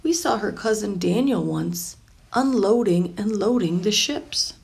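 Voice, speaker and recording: Creaky-voiced woman reading a picture book. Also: mid-range voice, lively intonation, measured pace, darkish tone. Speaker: storybook reader.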